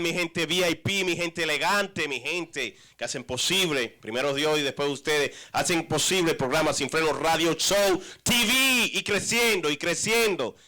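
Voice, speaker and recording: A man hosting a radio talk show, lively, chatty and teasing with his guest. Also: a little high. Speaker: host.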